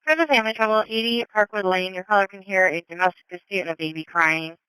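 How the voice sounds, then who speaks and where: A woman dispatcher speaks over a police radio with a very crisp, clear voice. The sound is dry and close, and she relays details in clipped, procedural phrases at a middling pitch. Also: quick pace, bright, forceful tone, no echo, low-quality recording. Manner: clipped, matter-of-fact procedural delivery. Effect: two-way radio. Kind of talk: radio dispatch, giving incident details and acknowledgements. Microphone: dispatch radio microphone.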